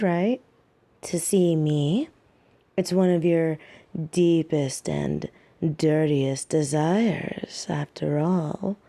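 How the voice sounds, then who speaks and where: A woman playing a flirty, teasing catgirl thief in a roleplay audio drama, coy and seductive with playfully drawn-out words. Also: on the low side, dark tone. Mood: sultry, seductive.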